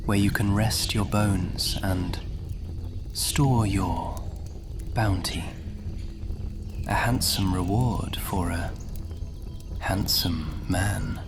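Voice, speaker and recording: A man playing a suave, possessive supernatural lord in an ASMR audio drama, speaking in a hushed, breathy drawl. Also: low-pitched, drawn-out words, long pauses, music in the background, full character voice. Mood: silky, enticing. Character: suave, possessive male supernatural lord sending off his valet. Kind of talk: ASMR audio roleplay acting.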